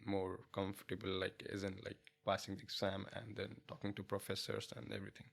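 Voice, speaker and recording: A man's voice: low voice, monotone, brisk, vocal fry. A young man from India with an Indian accent, chatting in an interview in English, his second language, with many fillers and restarts. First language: not English. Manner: hesitant, many fillers and restarts, laughs easily. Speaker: guest, international student. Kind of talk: casual interview chat.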